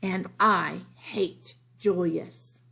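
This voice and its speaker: A woman's voice: on the low side, fairly even intonation, very slow, gravelly, pushed and bright, heavily acted. A woman voicing a bratty, jealous little girl in a storybook, haughty and emphatic. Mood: haughty, spiteful. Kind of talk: storybook read-aloud.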